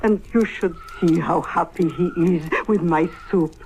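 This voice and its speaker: An actress playing a kindly, indignant madame who protests earnestly in old-time radio comedy style. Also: female voice, lowish voice, measured pace, darkish tone. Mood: indignant, pleading. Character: indignant, kindly French madame devoted to feeding invalid. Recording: noisy old radio drama recording.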